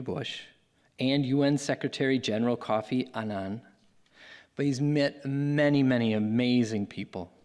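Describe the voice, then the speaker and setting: Male event host, lower register, formal introduction read to a reverberant hall. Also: darkish tone. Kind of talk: formal prepared introduction speech. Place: theater stage. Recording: echoing hall with long reverb.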